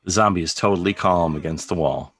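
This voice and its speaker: A man's voice: deep voice, brisk, a bit bright, faint music behind. A man reading stage directions as scripted, present-tense narration for a table read. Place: remote video call table read, dry sound.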